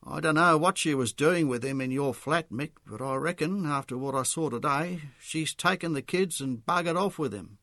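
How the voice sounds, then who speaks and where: A man voicing a motherly older Australian woman, a sad and gentle neighbour in a story. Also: fairly high pitch, normal speed, hardly any pauses, no echo, clean studio sound, pitched above the performer's own voice. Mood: sad, sympathetic. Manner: wistful, gentle, reluctant.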